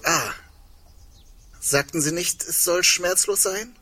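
A man playing a searching, tender man facing doom in an intimate audio drama, speaking haltingly and sometimes hushed. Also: high-pitched, exaggerated voice. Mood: baffled.

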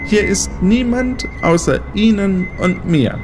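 Guy playing a smug menacing pursuer, radio play, slow condescending delivery, music behind. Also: high-pitched, animated.

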